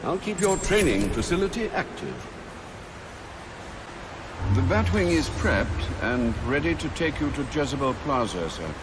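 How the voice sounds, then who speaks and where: An actor playing an older, dutiful British butler in a video game, formal and deferential with dry sardonic wit. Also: male voice, a little high, sing-song, measured pace, background noise. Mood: worried, dutiful. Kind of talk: video game cutscene acting.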